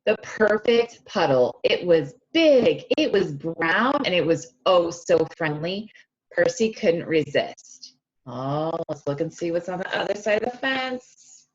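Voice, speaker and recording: A woman reading a picture book aloud to children, expressive and stretching key words, often stopping to ask them questions directly, on a slightly dull lo-fi recording. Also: fairly low pitch, slow pace. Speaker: speech-language pathologist reading to children. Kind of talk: animated children's read-aloud with questions to listeners.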